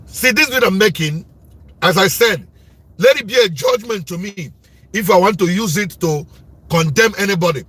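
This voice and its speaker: A man with a Nigerian accent giving impassioned political commentary in a loud voice, forceful and repetitive, like preaching. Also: high-pitched, slightly rough, no room sound.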